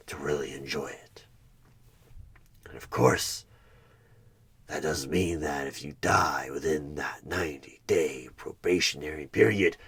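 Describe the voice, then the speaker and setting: A man playing a sinister, smug evil tempter in a horror ASMR roleplay, speaking deliberately in a husky voice with dramatic pauses and frequent whispers. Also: extremely low pitch, a bit bright, heavily acted. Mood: persuasive, sly. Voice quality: husky, breathy.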